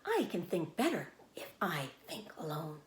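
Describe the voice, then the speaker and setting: A woman reading a children's storybook, voicing a motherly female spider in a measured, comforting and firm manner. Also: low voice, sing-song, echoey room, pitched below the performer's own voice. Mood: cool, collected. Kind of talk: children's storybook read-aloud.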